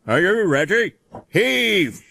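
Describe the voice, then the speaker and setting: A man's voice: a little high, sing-song, slow pace, fairly bright tone, a little hiss or hum, voice pushed up, exaggerated voice. A man voicing a stern, portly male railway boss in a storybook, scolding and authoritative. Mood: commanding.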